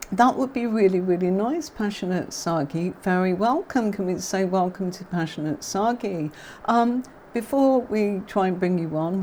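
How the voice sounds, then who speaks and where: A woman's voice: medium pitch, darkish tone, a little hiss or hum. An English woman from Yorkshire hosting a tarot livestream, chatting with viewers and teaching card meanings, with many fillers and a neutral accent. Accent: neutral southern English, faint Yorkshire. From Yorkshire, England.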